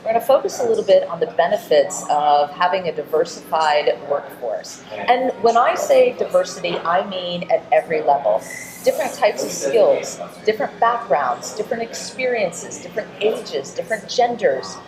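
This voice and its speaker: A woman's voice: lowish voice, animated, normal speed. A middle-aged woman hosting a conversational interview. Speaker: show host and interviewer. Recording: roomy echo, busy crowd chatter. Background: crowd murmur.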